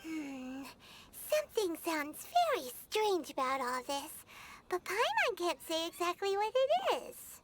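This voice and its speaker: A woman voicing a tiny, excitable female fairy companion in a video game, bouncy and exclamatory. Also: measured pace, noisy background, full character voice. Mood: curious. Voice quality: high, squeaky, childlike. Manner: bouncy, exclamatory, speaks of herself in third person. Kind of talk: game voice acting. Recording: game audio over loud music. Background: game music.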